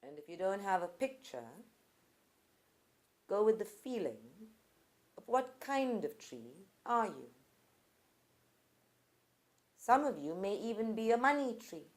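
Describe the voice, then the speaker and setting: A woman leading a guided group meditation, speaking deliberately in short phrases with pauses between them. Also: mid-range voice, sing-song. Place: live group session at a centre.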